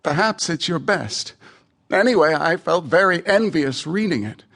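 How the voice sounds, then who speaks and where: A man's voice: fairly high pitch, lively intonation, unhurried, gravelly, a bit bright, heavily acted. An actor playing a witty, admiring male poet reading his letters aloud in a chatty, wry, literary way for radio theatre.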